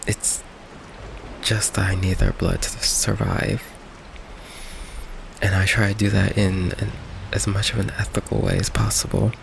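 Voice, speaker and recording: Low, gravelly man as a gentle vampire boyfriend. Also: a little hiss or hum, low-quality recording. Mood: earnest, defensive. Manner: hesitant, halting, soft-spoken, apologetic. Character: gentle, insecure male goth vampire boyfriend confessing his secret. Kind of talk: ASMR boyfriend roleplay. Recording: steady rain ambience behind the voice. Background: rain ambience.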